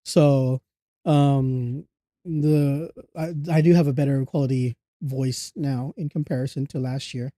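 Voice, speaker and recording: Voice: medium pitch, fairly even intonation, a little slow, mellow, dry recording, studio quality. A streamer greeting their viewers with upbeat, chatty small talk and frequent giggles, sounding stuffy from a cold. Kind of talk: casual livestream chat. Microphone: desktop streaming microphone.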